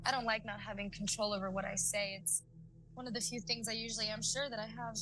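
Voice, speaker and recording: A woman's voice: mid-range voice, little pitch movement, fast talker, noisy background, lightly acted. A woman playing an earnest, helpful young woman in an audio drama. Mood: unsure. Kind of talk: audio drama table read.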